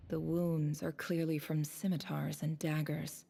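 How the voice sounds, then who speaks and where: A detached young game heroine in hushed inner narration. The voice is low and dark with creak, washed in long reverb. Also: female voice, little pitch movement, measured pace, heavily acted. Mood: detached, grim.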